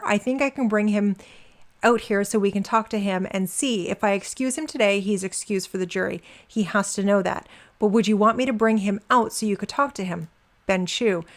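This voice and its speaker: A woman reading a transcript aloud, dropping in informal, wry asides as commentary. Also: medium pitch. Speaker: reader and commentator.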